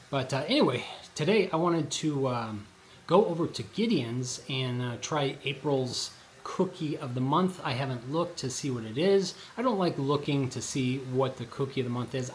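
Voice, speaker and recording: Hoarse man chatting loosely. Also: medium pitch, lively intonation, moderate pace. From Colorado. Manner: rambling, with many fillers and restarts. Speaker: vlogger. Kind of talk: casual vlog chat. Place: outdoors at a shopping district in light rain. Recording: noisy outdoor recording.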